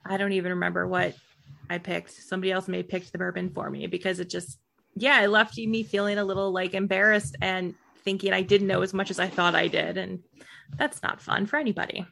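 A woman's voice: on the low side, little pitch movement, a little fast, creaky, a bit bright. A woman interviewing a guest in a casual chat over a video call, thoughtful and sharing her own experiences. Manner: thoughtful, conversational, relates own stories.

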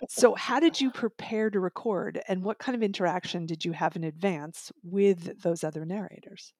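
A woman's voice: fairly low pitch, normal speed, a little mellow, no echo. Woman hosting in a dry studio. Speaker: interview host. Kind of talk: audiobook podcast interview.

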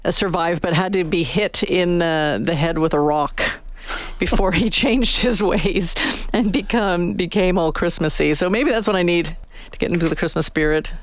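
A woman with a Canadian accent hosting radio in a casual, chatty way with animated asides. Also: lowish voice, normal speed. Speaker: radio host. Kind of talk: casual on-air chat. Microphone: close studio radio microphone.

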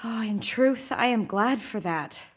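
A woman playing a sweet, innocent, bewildered wife in a Shakespeare drama, with a breathy voice and gentle, pleading manner. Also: a little high, animated, a little slow.

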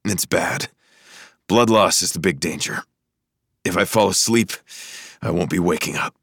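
A man voices a wounded young American security man in an audiobook thriller. He is stoic and terse, with a strained delivery in a low voice and a very dry sound. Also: measured pace, fairly bright tone, slightly rough, no room sound, full character voice. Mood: grim. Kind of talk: audiobook character voice.

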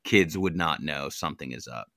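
Podcast guy, low but bright clean voice, dry sound, kinda halting. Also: creaky, no room sound. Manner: agreeable, halting restarts. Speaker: podcast co-host. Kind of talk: casual chat.

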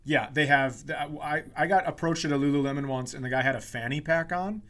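A man's voice: fairly high pitch, brisk, a bit bright. A man co-hosting a comedy podcast, telling self-deprecating stories in casual, joking banter. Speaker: podcast co-host.

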